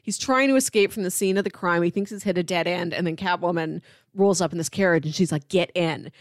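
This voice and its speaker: Low-voiced woman co-hosting a podcast. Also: fairly quick, no room sound, clean studio sound. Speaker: podcast co-host. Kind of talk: casual film and comic discussion.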